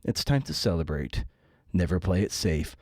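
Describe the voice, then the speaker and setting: A man's voice: deep voice, expressive, moderate pace, darkish tone, no echo, clean studio sound. A middle-aged man hosting a podcast in a conversational style, with a wry, self-deprecating manner. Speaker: podcast host and interviewer. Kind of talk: conversational podcast intro and interview.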